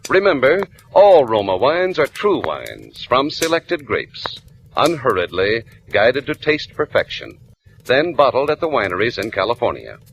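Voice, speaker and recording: Old timey radio ad guy, polished and emphatic, very animated pitch, slight hiss. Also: measured pace. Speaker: sponsor announcer. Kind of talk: 1940s radio advertisement read. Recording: vintage radio recording, faint noise.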